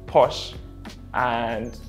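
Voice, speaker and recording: A young man from London with a local accent talks casually and colloquially to camera. His voice is medium in pitch, with music faintly behind. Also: fairly even intonation, extremely slow. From West London, England. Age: early 20s. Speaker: recent graduate. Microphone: lapel mic. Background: background music.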